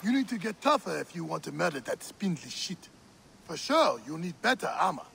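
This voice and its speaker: An actor playing a warm, teasing village friend of a warrior in a video game, speaking expressively and familiarly. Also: male voice, fairly high pitch, sing-song, moderate pace, gravelly, slight background noise, full character voice. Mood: earnest, worried. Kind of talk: video game cutscene acting.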